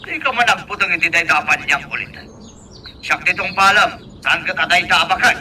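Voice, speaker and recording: Man as a sharp, high, pushy interrogator. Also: moderate pace, slight background noise. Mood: nagging. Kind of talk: Ilocano radio drama acting. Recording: distant microphone.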